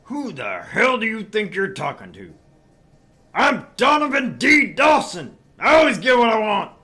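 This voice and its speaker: A man's voice: high voice, wildly swooping pitch, unhurried, pushed and bright, heavily acted. A man voicing a brash, crude, sleazy middle-aged tabloid editor in a visual novel read-through, with a pompous, pushy manner. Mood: boastful.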